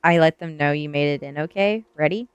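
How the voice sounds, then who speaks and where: A woman's voice: low-pitched, a little fast, clean tone, dry recording, highs cut off, faint music behind, natural voice. Woman reading as bold young goth girl. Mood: brisk, assured.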